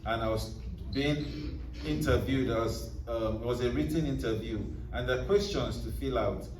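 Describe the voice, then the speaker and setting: Man, rambling casual talk, mid pitch, faint room noise, recorded from a distance. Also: moderate pace. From Nigeria. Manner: rambling, many fillers and restarts. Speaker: author at a book presentation. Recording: roomy hall, distant mic, faint noise.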